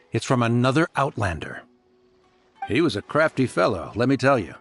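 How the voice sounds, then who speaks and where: A man voicing a chatty, eager mail courier in a video game, talking in an emphatic, theatrical way. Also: mid-range voice. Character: chatty, eager male mail courier, good-naturedly exasperated. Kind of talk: English video game voice acting.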